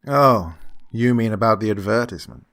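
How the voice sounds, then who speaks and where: An actor playing an unctuous, scheming gentleman employer who feigns kindly absent-mindedness, fussy and repetitive, in an old-time radio horror drama style. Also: lowish voice, expressive, normal speed. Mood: fussily welcoming. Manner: fussy, hesitant, repeats himself, chuckling.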